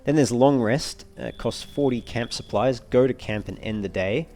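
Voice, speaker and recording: A man's voice: medium pitch, moderate pace, dark tone, faint music behind. A man giving casual commentary on a video game walkthrough, thinking aloud as he reads through menus. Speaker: let's player.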